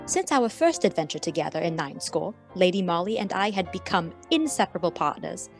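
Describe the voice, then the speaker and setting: A woman's voice: fairly low pitch, sing-song, fairly quick, over music. A woman playing a devoted, discreet detective's companion, narrating a radio mystery in a formal, precise, confiding way. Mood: proud, composed. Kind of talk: scripted radio play narration in character.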